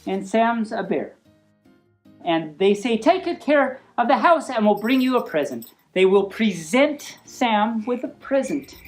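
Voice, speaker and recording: Woman reading a story, very lively pitch. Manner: playful, rambling, easily sidetracked. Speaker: storyteller reading a picture book. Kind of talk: informal read-aloud with playful asides.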